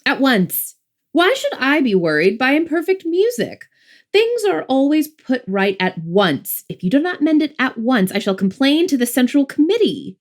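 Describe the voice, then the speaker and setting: A haughty, petulant mother acted by a woman in an audiobook drama. Her voice sits fairly high and swoops widely as she complains with theatrical indignation. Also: sing-song, moderate pace. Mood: indignant. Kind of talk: full-cast audiobook acting.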